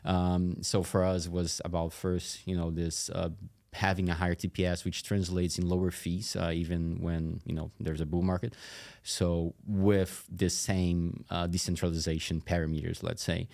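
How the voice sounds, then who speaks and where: A man in his thirties giving casual interview answers as a tech executive, halting and thinking aloud as he goes. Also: low-pitched, level tone, vocal fry, a little mellow. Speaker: tech company chief executive and co-founder, podcast guest.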